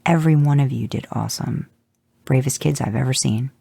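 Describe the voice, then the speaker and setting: A woman voicing a gruff, wisecracking male monster hunter, dropping her voice low and hushed. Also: brisk, no room sound, heavily acted. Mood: proud.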